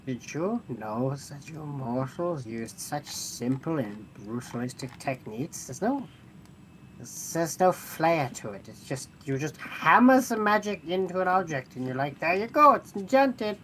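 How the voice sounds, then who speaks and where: A man voicing a haughty, pragmatic mechanical creature who talks down to mortals in a theatrical, halting way during a tabletop roleplaying game. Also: fairly high pitch, very animated, fairly bright tone, slight background noise, voice pushed up. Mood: scornful. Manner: condescending, theatrical, hesitant phrasing.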